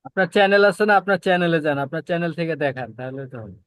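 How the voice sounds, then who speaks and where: A man's voice: fairly high pitch, rapid-fire, a bit bright, clean tone, dry recording. A man with a Bangladeshi Bengali accent talking informally on a group call, explaining and repeating his points. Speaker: participant in online class.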